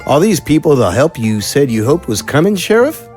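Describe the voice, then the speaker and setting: A man's voice: on the high side, big pitch swings, moderate pace, darkish tone, soft background music. A man playing a sweet, anxious small-town deputy in an audio drama. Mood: relieved, uneasy.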